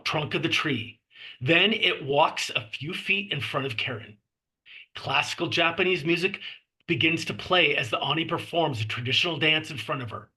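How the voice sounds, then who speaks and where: Guy reading script directions, really bright punchy voice, slightly high pitched. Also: low-quality recording. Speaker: stage directions reader. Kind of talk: scripted narration at a table read.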